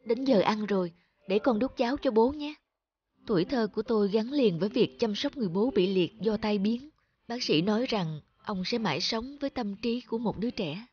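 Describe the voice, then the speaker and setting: A woman playing a gentle, sorrowful young woman in a cartoon drama, speaking earnestly and plaintively. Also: a little high, lively intonation, moderate pace, highs cut off, close to an everyday voice. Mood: sad, subdued. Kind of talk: cartoon drama acting with reflective narration.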